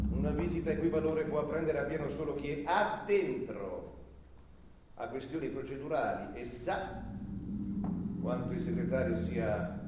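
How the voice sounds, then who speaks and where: A man acts out a theatrical, self-important old lawyer, unwell but grandly effusive, in a radio drama. His voice sits fairly high and rings through a very echoey hall with long reverb. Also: heavy background noise, natural voice. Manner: pompous, effusive, dwelling on words. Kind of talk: radio play stage acting.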